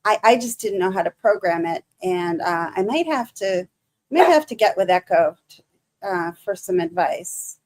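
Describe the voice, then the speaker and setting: Halting, nervous woman with a Michigan accent. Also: fairly low pitch, lively intonation, darkish tone. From Michigan, USA. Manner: halting, many restarts and fillers, nervous. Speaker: livestream host. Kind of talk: casual livestream chat with viewers.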